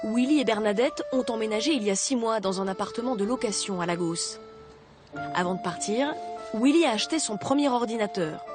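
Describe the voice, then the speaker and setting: A woman reading scripted voice-over narration for a television documentary report, in a measured, even delivery. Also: a little high, music in the background.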